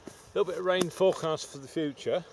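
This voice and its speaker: A middle-aged man giving a casual, unhurried, matter-of-fact gardening vlog demonstration in a rambling way. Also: fairly high pitch. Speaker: allotment gardener presenting own video. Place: outdoors on an open allotment plot. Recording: outdoor background noise with birdsong.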